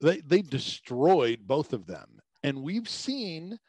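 A man from Tampa, a sports radio host, giving animated sports analysis as a podcast guest, his pitch rising on emphasis. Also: slow pace, slightly rough, dry recording. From Tampa, Florida.

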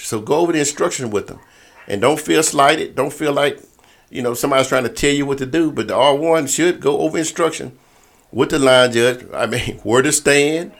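A man's voice: mid-range voice, creaky. A man leading a conference call, giving an informal briefing to officials and often repeating words and circling back to stock phrases. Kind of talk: informal briefing to volleyball referees.

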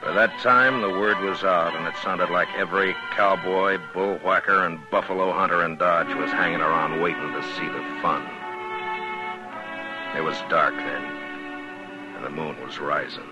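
Man playing a very deep-voiced frontier marshal. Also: fairly even intonation, moderate pace, lo-fi sound, close to an everyday voice. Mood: grave narrating. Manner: terse, grave, controlled. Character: stern, steady male frontier marshal in old West. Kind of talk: 1950s radio western acting and narration. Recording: vintage radio recording with prominent music.

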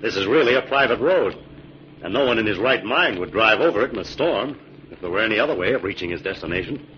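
A man's voice: lowish voice, animated, natural voice. Man acting a determined investigator, muffled old radio. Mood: thoughtful. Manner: brisk, insistent questioning. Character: determined, inquisitive male investigator, suspicious and firm. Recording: dull, hissy old radio recording.

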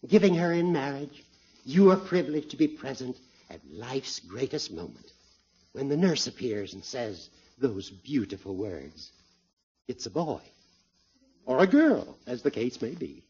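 Genial judge, florid formal phrasing, very husky, animated pitch, dull vintage radio sound. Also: male voice, unhurried. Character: genial, wordy older judge, Gildersleeve's friend. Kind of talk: 1950s radio comedy acting. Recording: dull, muffled old radio recording.

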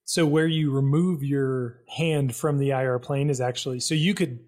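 Male co-host, mid-pitched, easygoing, many likes and you-knows. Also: fairly even intonation, normal speed, a little mellow. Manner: loose, filler-heavy explaining. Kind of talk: casual podcast chat.

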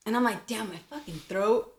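A woman's voice: on the high side, a little fast. Foul-mouthed young American woman telling stories. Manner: foul-mouthed, blunt, jokey. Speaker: podcast co-host. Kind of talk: casual chat, animated storytelling.

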